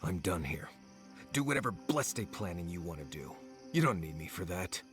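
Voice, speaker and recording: A man's voice: sits low, very animated, moderate pace, a bit bright, soft background music. A man acting a stubborn, grumpy guide in a video game, blunt and sarcastic. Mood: exasperated. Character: grumpy, sardonic, stubborn male fantasy guide.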